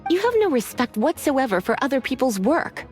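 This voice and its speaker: A languid, teasing librarian with a lilting fairly high voice, soft game music under it. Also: female voice, big pitch swings, a little fast. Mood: stern. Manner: languid, teasing, gently threatening. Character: sultry witchy librarian woman, teasing and unhurried. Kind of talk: video game voice acting.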